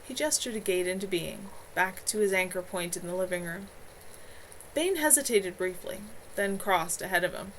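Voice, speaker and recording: Woman reading fiction out loud on a home stream, bit of noise behind her, dramatic storytelling. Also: on the low side, lively intonation, moderate pace. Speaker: author reading own novel aloud. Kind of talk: live amateur audiobook-style narration. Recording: clearly noisy home livestream recording.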